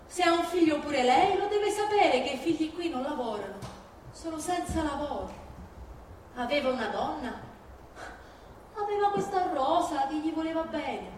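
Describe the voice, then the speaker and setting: Actress doing older sicilian mom, grieving and fierce, high plaintive voice, super echoey theatre monologue. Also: female voice, expressive, normal speed, darkish tone. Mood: indignant pleading. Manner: emphatic, plaintive, repeating phrases. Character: fierce, grieving Sicilian mother disowning her criminal son. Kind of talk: dramatic theatrical monologue on stage. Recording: very echoey hall from far, faint noise.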